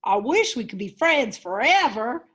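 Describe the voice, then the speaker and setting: A woman's voice: fairly high pitch, very animated, a little slow, fairly bright tone, breathy edge, full character voice. A woman voicing a huge, friendly male whale in a storybook, with a grand, hearty, emphatic delivery. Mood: fond, wistful.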